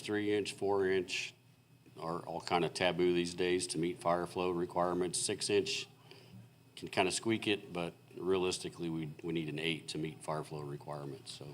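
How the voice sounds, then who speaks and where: Deep-voiced male engineer, hoarse from losing his voice, walking a city board through a report, halting and filler-heavy. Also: steady tone, a little hiss or hum. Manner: halting and explanatory, many fillers and restarts. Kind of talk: technical presentation at a public meeting. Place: city council meeting room.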